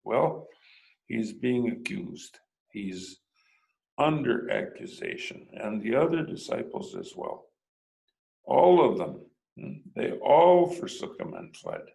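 Male preacher, unhurried and thoughtful, low voice with heavy vocal fry. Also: huge pitch swings, mellow, rough recording. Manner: thoughtful, prompts listeners with "hmm?" and "huh?". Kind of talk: reflective sermon lecture.

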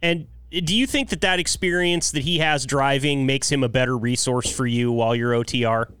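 A man's voice: medium pitch, moderate pace, a bit bright, clear, resonant tone, dry recording. A man hosting a podcast interview in a conversational, chatty and encouraging manner. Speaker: podcast host.

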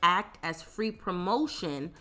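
A woman's voice: on the low side, lively intonation, takes it slowly, bright, forceful tone. Congested woman hosting a podcast. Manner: chatty, laid-back, lots of fillers. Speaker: podcast host. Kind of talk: casual podcast chat.

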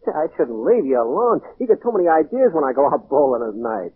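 Scoffing husband, wildly swooping husky voice. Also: male voice, fairly high pitch, big pitch swings, a little fast, heavily acted. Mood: scornful, dismissive. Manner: brusque, slangy, dismissive. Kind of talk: old-time radio drama acting. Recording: muffled phone-like vintage radio recording.

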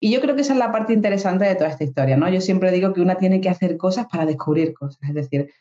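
Andalusian woman in her 30s, psychologist guest, mellow voice, echoey muffled room sound. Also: fairly low pitch, lively intonation, fast talker. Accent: Andalusian Spanish. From Spain. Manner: reflective, frequent tag questions. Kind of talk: casual interview talk.

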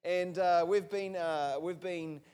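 A man's voice: high-pitched, fairly even intonation, slow, darkish tone, smooth. A man preaching a conversational sermon in a church, asking the congregation rhetorical questions and restarting his sentences. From New Zealand. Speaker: pastor. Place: church hall. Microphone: handheld or stage mic.

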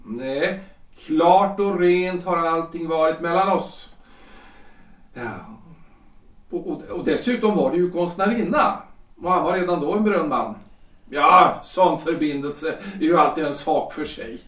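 An actor playing a self-important, flustered lawyer-politician husband in his forties in a period audio drama, halting and repeating himself. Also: fairly high pitch, a bit bright, distant mic, natural voice. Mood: nervous, defensive. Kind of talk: period stage-play audio drama acting. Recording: roomy echo, off the mic.

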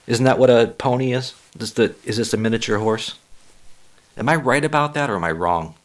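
A man hosting a solo podcast, a little hoarse, talking in a rambling, profane, self-deprecating way. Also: medium pitch, huge pitch swings, a little slow, long pauses. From Boston area. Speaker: podcast host and stand-up comic. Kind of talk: casual solo podcast monologue.

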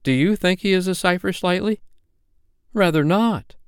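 A man's voice: sits high, normal speed, clear, resonant tone, no echo, clean studio sound, pitched above the performer's own voice, natural voice. A man voicing eager, polite young boys, earnest and loyal, in a children's storybook reading.

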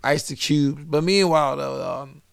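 A man's voice: a little high, fairly even intonation, normal speed, vocal fry, clean tone. A man co-hosting a podcast, talking in laid-back casual banter and swearing freely. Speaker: podcast co-host.

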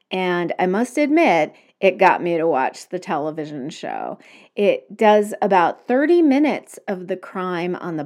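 A woman's voice: fairly low pitch, a little mellow. A woman hosting a solo podcast, talking conversationally and recommending things to listeners. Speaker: podcast host.

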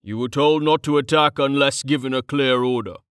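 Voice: a little fast, clear, resonant tone, no echo, studio quality, lightly acted. Narrator doing a stern, derisive male mage officer. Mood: calm, stern. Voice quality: deep. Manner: calm authority turning to snapping contempt.

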